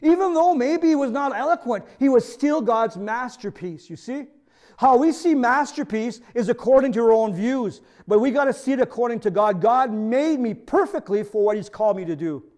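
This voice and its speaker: A middle-aged man with a French accent, his native tongue being French, delivers a sermon in a notably high voice. He preaches earnestly as pastor and keeps checking in with his listeners through rhetorical questions. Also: expressive, normal speed, darkish tone.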